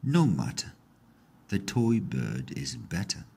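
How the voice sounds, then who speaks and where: A man's voice: low-pitched, very animated, slow, gravelly, darkish tone, slight background noise, full character voice. A man voicing a king's dutiful, plain-spoken servant in a storybook, in a matter-of-fact manner. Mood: dismissive.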